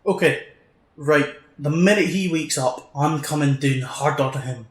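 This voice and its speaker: A man playing a gruff, cocky older soldier in an audio drama, blustering and colloquial, recorded with an echo. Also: fairly high pitch, measured pace, natural voice. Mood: brash, tough. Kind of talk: fan audio drama acting. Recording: echoey, reverberant room sound.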